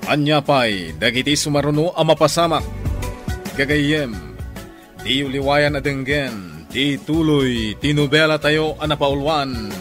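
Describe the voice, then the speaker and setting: A man announcing a radio drama in a measured, declamatory, scripted style. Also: on the high side. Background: loud theme music.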